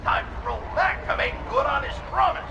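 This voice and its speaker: A man's voice: fairly quick, noisy background, natural voice. A man voicing a scrappy alien gambler in a video game, chummy and colloquial. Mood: pleased. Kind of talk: animated game acting. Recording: roomy echo, music underneath.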